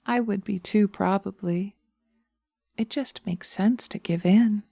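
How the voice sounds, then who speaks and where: Woman playing a gentle seductive witch, slow, mellow, soothing, half-whispered, hypnosis roleplay. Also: mid-range voice, gravelly, no room sound, studio quality. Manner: soothing, coaxing, partly whispered. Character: sweet, coaxing, seductive witch, gently teasing. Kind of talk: binaural hypnosis roleplay audio.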